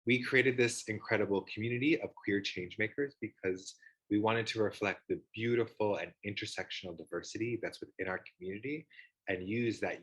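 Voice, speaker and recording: Lowish husky guy hosting a video call panel, upbeat and keen. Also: a bit bright, rough recording. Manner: upbeat, enthusiastic presenter. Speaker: host, organization founder.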